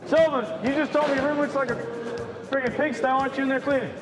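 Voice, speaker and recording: A teenage cadet officer yelling orders at fellow cadets. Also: male voice, very high voice, fairly even intonation, quick pace, echoey room. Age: teens. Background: music.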